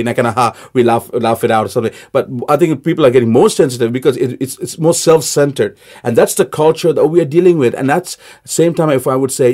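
Emphatic pastor, man, mid pitch. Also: darkish tone. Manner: emphatic, rhetorical questions. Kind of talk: conversational interview. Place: studio.

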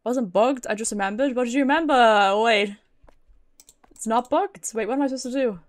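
A woman's voice: fairly high pitch, normal speed. A British woman giving casual, playful, sweary live commentary as she streams a video game. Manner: playful, sweary, chatting to viewers.

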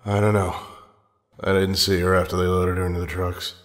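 A man's voice: sits low, little pitch movement, fairly quick, lightly acted. An actor playing a battered, worried male captive in an audio drama, sounding weary and urgent. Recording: long hall-like reverb.

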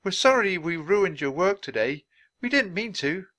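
A man's voice: sits high, animated, moderate pace, pushed and bright, pitched above the performer's own voice. A man voicing a bold, cheerful little girl in a storybook, speaking in a frank and eager way. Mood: apologetic.

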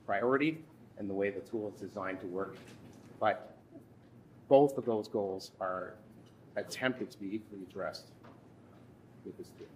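Man in his thirties, workshop instructor, halting delivery, restarts often, faint room hum. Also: fairly low pitch, low-quality recording. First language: English. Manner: halting, with frequent restarts and repeats. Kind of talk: workshop presentation. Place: conference room.

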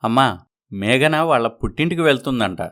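A man playing a dutiful adult son in an animated moral story, speaking earnestly and pleadingly. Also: mid-range voice, a little fast, natural voice. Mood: concerned. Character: dutiful adult son torn between wife and parents.

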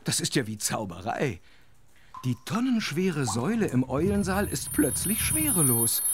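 A man narrating a children's audio story in a dramatic, scripted style with a husky voice. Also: a little high, sing-song, moderate pace, background noise.

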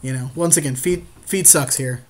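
A man's voice: medium pitch, moderate pace. A man casually commenting on a game with a partner, slangy and repeating phrases for emphasis. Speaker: podcast co-host and card game player.

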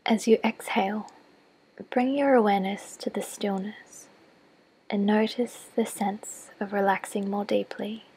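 A woman leads a guided body scan meditation in a soft, breathy near-whisper, giving gentle, measured instructions with long pauses. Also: mid-range voice, steady tone, low-quality recording. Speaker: clinical psychologist leading a guided meditation.

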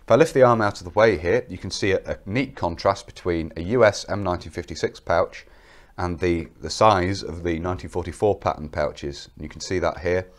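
A man's voice: low-pitched, brisk. A young man with a cold presenting military kit to camera in a studio, explaining each piece in a methodical, detailed way. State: has a cold.

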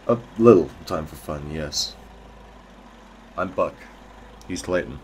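A man acts out a no-nonsense drug dealer in an audio drama. His voice is low and gruff, his delivery dry and dismissive, over faint vehicle noise. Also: lively intonation, slow pace, a little mellow, full character voice. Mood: stern.

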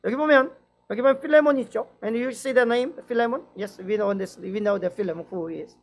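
Halting man preaching in two languages. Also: very high voice. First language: Korean. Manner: slow, halting English with many restarts and fillers, quick fluent Korean. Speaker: pastor. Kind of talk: bilingual dawn-service sermon. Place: church sanctuary, indoors.

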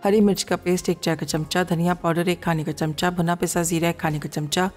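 A woman reads out recipe ingredients and steps in a steady, measured voice-over, with a Pakistani accent. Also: lowish voice, long reverb tail, soft background music. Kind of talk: scripted recipe narration.

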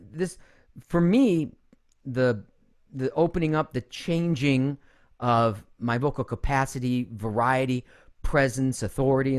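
A middle-aged man coaching in a conversational way as host, speaking close to a studio mic. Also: mid-range voice. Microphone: studio condenser mic up close.

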